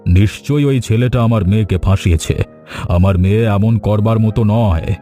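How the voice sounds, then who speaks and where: A man's voice: lowish voice, normal speed, warm tone, soft background music, close to an everyday voice. A man voicing a proud, stern, wealthy middle-aged merchant father in a cartoon story, speaking with authority. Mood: resolved. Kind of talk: acted cartoon story dialogue.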